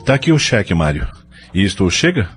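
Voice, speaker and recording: A man's voice: lowish voice, big pitch swings, normal speed, loud music behind the voice. A man acting a frank, devout brother-in-law with a Brazilian accent, earnest and preachy, in an audio soap opera. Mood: frank, reassuring.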